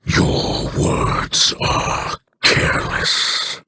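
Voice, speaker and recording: An actor playing a stern, threatening alien male warrior in a video game, with a deep gravelly voice. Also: extremely slow, bright, forceful tone, exaggerated voice. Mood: menacing.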